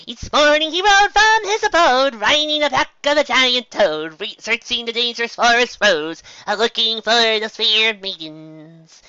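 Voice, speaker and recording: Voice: medium pitch, lively intonation, a little slow, hardly any pauses, bright, forceful tone, clear, resonant tone, no room sound, highs cut off, voice pushed up. A performer voicing an exuberant female cartoon pony who tells a mock-heroic ballad in a dramatic, bouncy, over-emphatic way. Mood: playful, dramatic. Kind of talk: theatrical sung storytelling.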